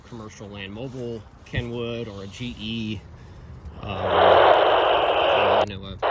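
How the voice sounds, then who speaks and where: A man giving an informal, technical gear review of handheld radios in a halting, rambling, matter-of-fact way. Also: on the low side. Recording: very noisy, radio static and chatter. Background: radio hiss and transmissions.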